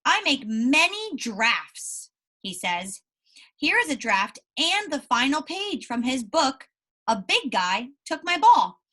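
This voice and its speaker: A woman's voice: high voice, big pitch swings, slow pace, sharp tone. A woman teacher reading aloud and explaining things to young pupils, encouraging them and often checking in with questions. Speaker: primary school teacher.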